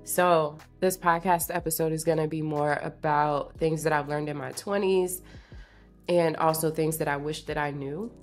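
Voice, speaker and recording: A woman's voice: deep voice, fairly even intonation, normal speed, faint music behind. A woman in her late twenties with a congested sound, chatting casually and confidingly as a solo podcast host in slangy, informal language. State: congested from allergies. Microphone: podcast microphone at a desk.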